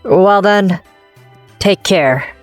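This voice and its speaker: A woman's voice: medium pitch, extremely slow, soft background music. A woman voicing a young male office worker and ex-boyfriend in a manga dub, introspective and wryly self-deprecating, narrating and talking in character. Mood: subdued.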